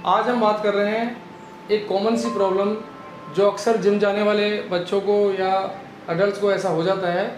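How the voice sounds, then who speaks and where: A man in his thirties, a physiotherapist, calmly explaining exercises step by step in a clinic room, on a lapel mic. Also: high-pitched, normal speed, soft background music, poor audio. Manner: calm, instructive, explains step by step.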